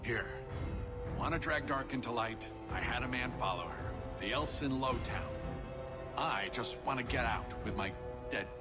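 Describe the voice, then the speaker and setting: An actor playing a cornered, foul-mouthed small-time smuggler in a video game, gruff and sarcastic, griping in colorful slang. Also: male voice, mid-range voice, normal speed, natural voice. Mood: sour, sarcastic. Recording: loud music under the voice. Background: game music.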